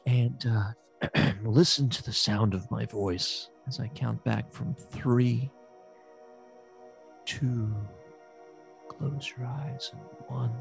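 A man playing a flustered, rambling therapist who stammers and slips into a hushed meditation voice, in an improvised comedy. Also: medium pitch, fairly even intonation, extremely slow, gravelly, pauses often, faint music behind. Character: bumbling, flustered male therapist. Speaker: therapist character.

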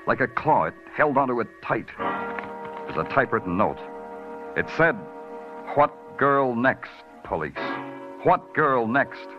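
An actor playing a terse, hard-boiled male police detective who narrates in 1940s radio drama style. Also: fairly low pitch. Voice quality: brooding, clipped delivery. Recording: dull old radio recording with music.

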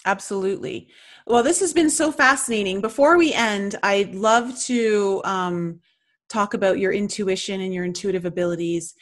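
A woman with a mid-pitched voice speaks as the host of a podcast interview. She affirms and stresses her points in an easy conversational style. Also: moderate pace. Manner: emphatic, affirming. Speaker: podcast host, tarot reader.

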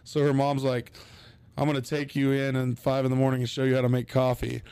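A man's voice: mid-range voice, completely flat delivery, a little fast, creaky, dark tone, clean tone, no room sound. A man on a comedy podcast, chatting casually with crude, joking anecdotes.

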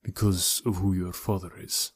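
A man playing a grave, weary, aging uncle in an audiobook, protective and regretful, with a subdued, measured delivery. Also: deep voice, flat delivery, breathy edge. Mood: deadpan. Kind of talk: audiobook character dialogue.

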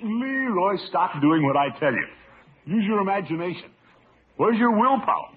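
A man's voice: sits high, sing-song, moderate pace. A man playing a blustery, fretful, pompous middle-aged uncle in an old radio comedy, with theatrical exclamations. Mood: exasperated, blustering. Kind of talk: 1940s-50s radio comedy acting. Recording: tinny, noisy old radio broadcast.

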